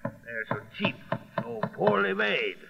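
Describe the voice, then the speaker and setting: Swaggering pirate boss, slow and gravelly. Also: male voice, sits high, sing-song, a loud crowd, exaggerated voice. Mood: gloating. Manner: mock-courteous, taunting, chuckling. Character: swaggering, mocking male pirate boss, suavely menacing. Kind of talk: old-time radio drama acting. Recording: muffled vintage radio recording.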